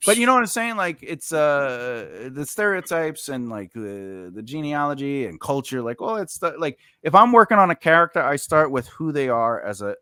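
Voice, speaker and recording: A man's voice: medium pitch, big pitch swings, normal speed, hardly any pauses, sharp tone, clear, resonant tone, no echo, clean studio sound. A man hosting a casual podcast chat, rambling with lots of fillers and restarts. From United States. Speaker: podcast host.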